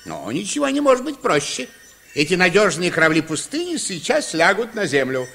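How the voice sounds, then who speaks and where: An actor playing a jolly, boastful, self-important adventurer in an old radio play, theatrical and exclamatory. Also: male voice, high voice, huge pitch swings, moderate pace, vocal fry, bright, forceful tone, heavily acted. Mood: jolly, bustling. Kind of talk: mid-century Soviet radio play acting.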